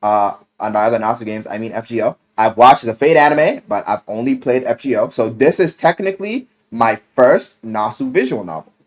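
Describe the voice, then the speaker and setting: A man telling a story casually in slang and swearing, as an animated video game reviewer who acts out what people say. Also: mid-range voice, fairly quick, hardly any pauses. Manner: animated storyteller, acting out quoted lines.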